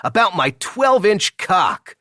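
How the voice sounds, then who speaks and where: A cocky young guy, as acted by a male audiobook narrator, pitched high and swinging widely in tone. He needles and jokes brashly, like a best friend who loves to provoke. The sound is close and dry. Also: very animated, slow pace, pushed and bright, dry recording, full character voice. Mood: cocky boasting. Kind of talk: audiobook character dialogue.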